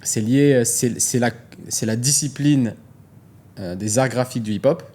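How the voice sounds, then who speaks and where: Relaxed man in his forties. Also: medium pitch, a little slow. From France. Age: 40s. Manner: relaxed, joking, says "tu vois" a lot. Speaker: guest artist. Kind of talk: casual interview chat.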